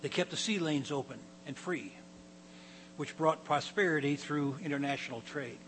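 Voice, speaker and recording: An older man with a raspy voice, a pastor giving a halting sermon to his congregation, often clearing his throat and repeating words. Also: on the high side, fairly bright tone, slight background noise, low-quality recording. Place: church hall.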